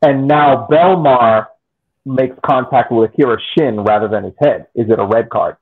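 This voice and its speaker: A man's voice: mid-range voice, normal speed. A man co-hosting a soccer podcast over a video call, debating casually and argumentatively with hypothetical questions. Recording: thin phone-like call audio.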